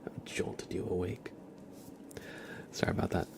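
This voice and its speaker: A man hosting an ASMR stream, speaking softly and often in a whisper, gently and casually as if chatting with viewers. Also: normal speed. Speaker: ASMR livestream host. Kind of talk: ASMR, soft-spoken and whispered.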